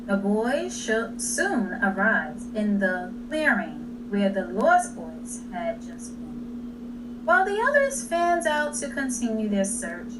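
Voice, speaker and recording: A woman storyteller narrating a children's story expressively, with dramatic, deliberate pauses. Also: medium pitch, big pitch swings. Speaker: read-aloud storyteller. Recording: distant mic, clearly noisy.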